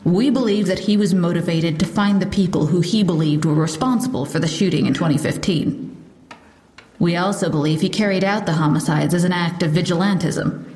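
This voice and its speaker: A woman's voice: fairly low pitch, a little mellow, close to an everyday voice. A woman playing a formal police lieutenant, echoey. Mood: official. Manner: formal, measured official statement. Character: composed female police lieutenant giving a press briefing. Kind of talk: audio drama acting. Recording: roomy echo.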